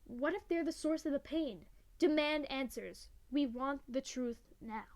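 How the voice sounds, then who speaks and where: A woman's voice: high-pitched, measured pace. A woman acting in an audio drama as an earnest, dutiful young pony daughter. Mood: grave, reading aloud.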